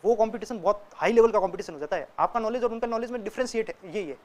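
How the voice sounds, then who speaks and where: A man's voice: sits high, rapid-fire. A young man, a student, giving casual, direct advice to other students and often checking in with them as he talks. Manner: chatty and direct, keeps checking in with listeners. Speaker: student sharing exam tips.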